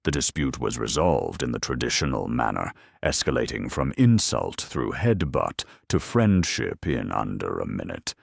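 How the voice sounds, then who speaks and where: A man narrating a grimdark war story in a grave, measured, dramatic way with wry deadpan humour. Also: rumbling low, vocal fry, breathy edge, dry recording, clean studio sound.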